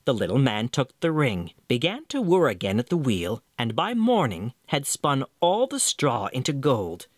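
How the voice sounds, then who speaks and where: Animated male storyteller. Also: mid-range voice, normal speed, hardly any pauses, dry recording, studio quality. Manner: theatrical, expressive. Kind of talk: scripted fairy tale narration.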